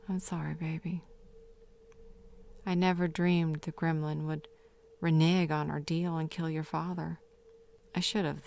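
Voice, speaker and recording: Woman as grieving fae mother, deep female voice, regretful, tender, half-whispering, audio roleplay, noisy background. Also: a little mellow. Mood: sorrowful, apologetic. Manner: sorrowful, halting, breathy half-whispers. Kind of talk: dramatic audio roleplay monologue.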